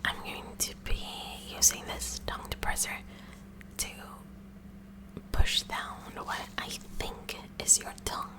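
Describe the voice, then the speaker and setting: A kooky high school science club president, acted out by a woman in a whispered ASMR roleplay. She speaks slowly and deliberately in a soft, breathy whisper. Her manner is eagerly curious and inquisitive, like a student examiner studying a specimen up close. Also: close to an everyday voice. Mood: focused, curious.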